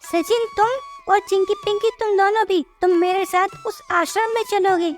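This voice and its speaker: A woman's voice: very high voice, fairly even intonation, moderate pace. A woman playing a caring, concerned older mother-in-law who admonishes her family in a scripted moral story cartoon. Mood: firm, coaxing. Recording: music behind the voice.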